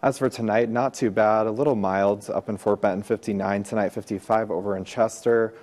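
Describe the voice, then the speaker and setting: A man's voice: on the low side, fairly even intonation, fairly quick, a little mellow. An American man giving a weather forecast on television in a conversational broadcast style.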